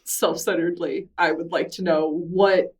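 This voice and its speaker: A woman's voice: on the low side, fairly even intonation, normal speed, far from the mic. A woman hosting a podcast interview, friendly and laughing easily, with some hesitant phrasing in her questions.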